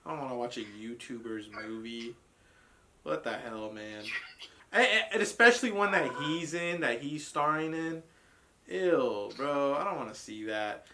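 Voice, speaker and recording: A man's voice: medium pitch, lively intonation, measured pace, sharp tone, a little hiss or hum. A man co-hosting a casual reaction podcast, blunt and profane, ranting with frequent laughs. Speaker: podcast co-host reviewing a trailer. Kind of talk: casual group reaction chat.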